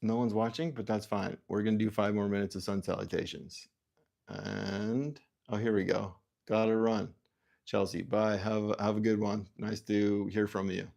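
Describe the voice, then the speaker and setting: A man from Canada hosting a livestream, musing aloud in halting, self-interrupting sentences and reading out viewer comments. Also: on the low side, level tone, vocal fry, a little mellow. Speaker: livestream host. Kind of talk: rambling livestream chat.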